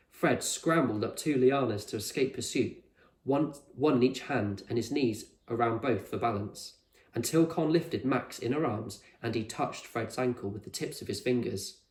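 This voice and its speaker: A man reading a children's novel aloud as a teacher, with expressive storybook narration. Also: medium pitch, normal speed. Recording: echoey room.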